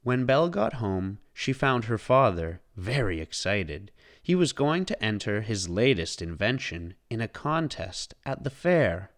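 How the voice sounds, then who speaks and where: Slow, highly animated male storybook narrator. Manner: expressive storytelling. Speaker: read-aloud narrator. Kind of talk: scripted children's storybook narration.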